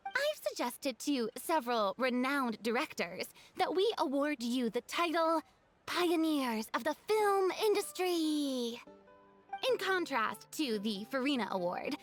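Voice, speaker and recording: A gracious, grandiose young celebrity from a video game, speaks with theatrical elegance. Her voice is extremely high and swings dramatically in pitch. Music plays behind her. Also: big pitch swings, unhurried. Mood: sincere, proud.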